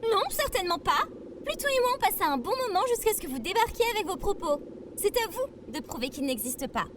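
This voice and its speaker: Very high-pitched, bubbly robot girl. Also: sing-song, fairly quick, noisy background. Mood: indignant, stubborn. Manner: bubbly, theatrical, formal address. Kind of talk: audio fiction comedy acting.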